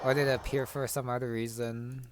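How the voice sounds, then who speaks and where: A man's voice: medium pitch, flat delivery, a little fast, music in the background. A man giving casual gameplay commentary, musing and thinking aloud. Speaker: gamer.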